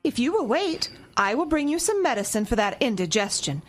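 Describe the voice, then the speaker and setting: A woman's voice: a little high, big pitch swings, a little fast, soft background music. A woman playing an unflappable, polite, matronly mother, prim and solicitous, in a radio drama. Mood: kindly, unruffled.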